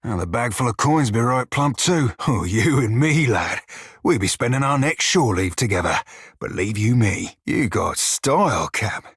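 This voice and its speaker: An actor voicing a short, crude, wry pirate shiphunter for a video game, with a husky, rough-edged voice and a pirate dialect full of salty sailor slang. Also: male voice, medium pitch, animated, unhurried, a bit bright, no echo, studio quality, heavily acted. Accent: pirate-style dialect. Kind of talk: video game character dialogue and barks.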